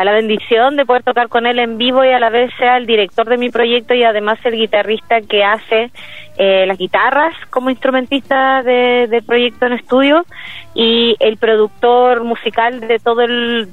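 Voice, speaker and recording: A woman's voice: fairly high pitch, fairly even intonation, normal speed, no room sound, soft background music. A woman with a Chilean accent, a musician talking about her project in an interview, hesitating often with fillers and restarts. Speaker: solo musician, interview guest. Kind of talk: casual interview over a call. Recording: dry, tinny phone-like line.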